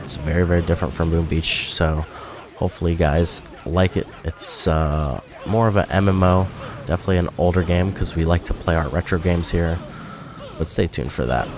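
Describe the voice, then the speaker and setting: A man's voice: low voice, steady tone, moderate pace, background noise. A man giving casual, rambling commentary over his gameplay video, sounding a little nasal from a cold. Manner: rambling, self-correcting, chatty. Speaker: gaming YouTuber. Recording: music playing behind.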